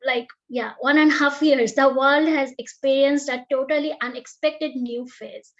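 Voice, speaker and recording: A woman chairs an online panel and reads formal, prepared remarks in a high voice. The call audio is dull and lo-fi. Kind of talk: scripted moderator remarks. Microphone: video call.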